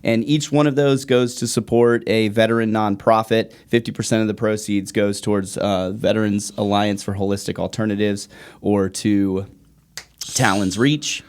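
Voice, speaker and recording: A man with a fairly low voice talks as a podcast host. His delivery is casual and conversational, with informal, joking banter. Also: fairly even intonation, moderate pace, clear, resonant tone.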